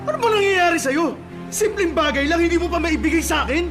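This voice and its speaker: A man's voice: very high voice, animated, brisk, over music. A man playing a domineering, jealous husband in a Filipino radio drama, with a Filipino accent. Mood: angry, accusing. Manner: coaxing murmurs turning to aggressive demands. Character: domineering, jealous husband, lustful then violently angry.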